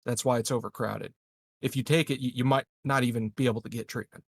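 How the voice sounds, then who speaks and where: A man with a medium-pitched voice talking as a player at a tabletop game. He is informal and slightly halting as he pitches in, recorded dry and close. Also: fairly even intonation, vocal fry, breathy edge, no echo. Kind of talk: casual game discussion.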